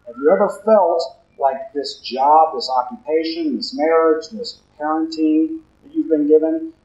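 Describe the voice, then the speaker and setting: Deep-voiced deacon preaching in a church. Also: male voice, warm tone. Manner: low-talking, conversational preaching with questions to the congregation. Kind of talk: sermon. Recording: roomy, slightly dull church recording.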